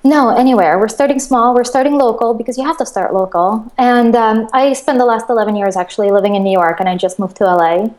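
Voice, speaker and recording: A woman's voice: a little high, fast, few pauses, a little mellow. Enthusiastic woman from Russia on a video call. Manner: enthusiastic, chatty, laughs often. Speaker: guest, crowdfunding platform co-founder. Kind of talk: casual interview chat.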